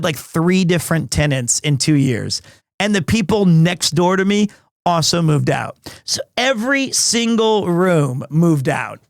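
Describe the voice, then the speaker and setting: A man, chatting loudly and rambling to his stream viewers from a room at home. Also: high-pitched, no room sound, studio quality. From United States. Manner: loud, big voice, rambling with many restarts. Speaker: livestreamer. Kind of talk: casual chat with stream viewers.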